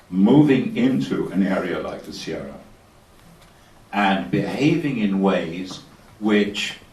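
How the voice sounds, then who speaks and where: Man, very deep voice, measured explanatory talk, distant mic, echoey hall. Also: darkish tone. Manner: measured, explanatory, tells personal anecdotes. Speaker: speaker answering audience questions. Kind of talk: panel discussion answer. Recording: roomy, distant hall recording.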